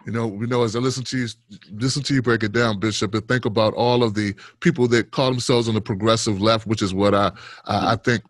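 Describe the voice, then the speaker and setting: A man's voice: fairly low pitch, fairly even intonation, fast, no echo. A middle-aged man hosting an informal political interview, talking conversationally and repeating phrases into a close studio microphone. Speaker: show host, interviewer. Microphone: desk studio microphone, close.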